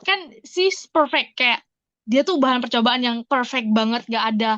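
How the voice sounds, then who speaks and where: A woman's voice: a little high, lively intonation, moderate pace, fairly bright tone, dry recording, muffled recording. A woman in casual podcast conversation, putting questions and theories forward and switching into English phrases. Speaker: podcast co-host asking questions.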